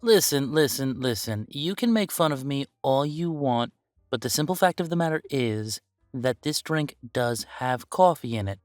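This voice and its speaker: A man's voice: medium pitch, animated, normal speed, no room sound, clean studio sound, faint music behind, close to an everyday voice. A man playing a playful, easygoing young werewolf boyfriend in a casual roleplay audio, chatting in a teasing, emphatic way. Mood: playfully defensive.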